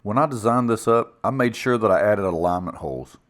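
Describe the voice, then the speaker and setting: A man's voice: on the low side, lively intonation, a little fast, slight background noise. A man casually narrating his own build project in a plainspoken, folksy, self-deprecating way. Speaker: woodworking video maker and narrator.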